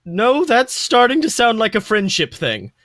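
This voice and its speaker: A man puts on a prickly, flamboyant guy for a story read aloud. The voice sits very high and leaps about dramatically. Every phrase drips with sarcasm or indignation, in a close, dry sound. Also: huge pitch swings, unhurried, a bit bright, no echo, exaggerated voice. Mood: suspicious, baffled. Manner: exaggerated, dramatic, sarcastic delivery. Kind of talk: fan fiction read aloud with character voices.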